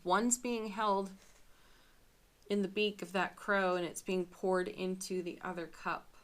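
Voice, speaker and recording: Slow-talking woman reading tarot. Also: medium pitch, fairly even intonation. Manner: chatty, musing, laughs often. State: scratchy voice. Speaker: tarot reader.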